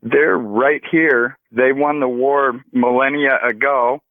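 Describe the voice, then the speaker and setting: Guy calling late radio, talks real slow and halting, thin dry phone sound. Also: mid-range voice, fairly even intonation, no echo. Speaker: radio show caller. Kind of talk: slow, speculative musing. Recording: narrow, tinny phone line.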